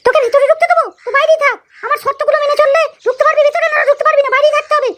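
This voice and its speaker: A woman playing a bossy, scolding village wife in an amateur comedy cartoon, nagging and commanding through a squeaky pitched-up effect. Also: steady tone, rapid-fire, a bit bright, a little hiss or hum. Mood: angry.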